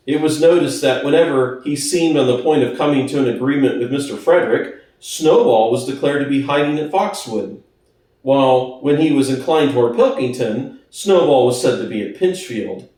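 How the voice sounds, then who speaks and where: A man gives a dramatic storybook narration in a medium-pitched voice. The sound is heavily echoed, as if he stands some way from the microphone. The delivery is scripted and theatrical, in the manner of a classic audiobook. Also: darkish tone. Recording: very echoey room, distant from the mic.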